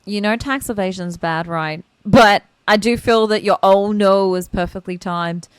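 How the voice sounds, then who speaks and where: A woman's voice: mid-range voice, unhurried, clear, resonant tone, no echo, rough recording. A woman game streamer reacting casually during a playthrough, emotive and playful, recorded on a close microphone.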